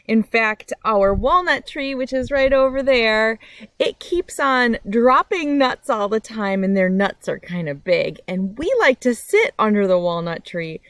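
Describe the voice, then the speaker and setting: Animated woman reading to children. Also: a little high, measured pace, no echo. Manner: animated storyteller, simple friendly explaining to children. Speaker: read-aloud host. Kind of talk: children's storybook read-aloud with casual chat. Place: outdoors by a meadow.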